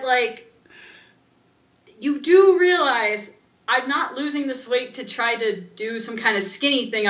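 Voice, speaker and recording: Bright, high-voiced woman in a roomy space. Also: lo-fi sound. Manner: blunt, sardonic, animated. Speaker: podcast co-host. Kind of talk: casual podcast chat.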